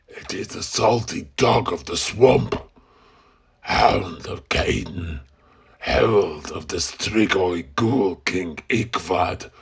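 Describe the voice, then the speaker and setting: A man voicing a sinister undead swamp guide with a husky, gravelly voice and a slow, theatrical, portentous delivery in a fantasy tale. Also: deep voice, full character voice.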